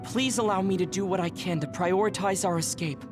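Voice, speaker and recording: A man's voice: high-pitched, lightly acted. Man playing a composed, polite young squad mate. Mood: calm, firm. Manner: measured, formal, calm. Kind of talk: English game voice acting. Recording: music under the voice.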